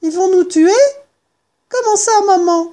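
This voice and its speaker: A woman's voice: very high-pitched, measured pace, dark tone, voice pushed up. A woman voicing a small, innocent fox cub in a storybook, childlike and questioning. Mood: frightened.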